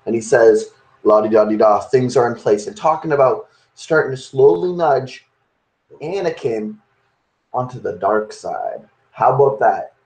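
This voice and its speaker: A man's voice: mid-range voice, expressive, a little slow, a little mellow. A young man hosting a podcast, rambling and restarting as he argues a point in casual chat. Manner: rambling, full of fillers and restarts while arguing a point. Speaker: podcast host. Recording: roomy echo.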